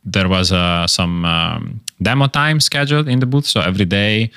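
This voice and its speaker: Deep bright-voiced rambling male podcast host. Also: normal speed, clean tone, dry recording, clean studio sound. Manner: enthusiastic, rambling, with many fillers. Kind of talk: casual podcast chat.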